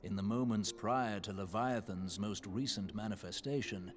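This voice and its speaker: An actor playing a grave, scholarly sage in a fantasy game, speaking deliberately in ornate archaic language. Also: male voice, fairly low pitch, soft background music, natural voice. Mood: solemn. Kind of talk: scripted fantasy game dialogue, expository.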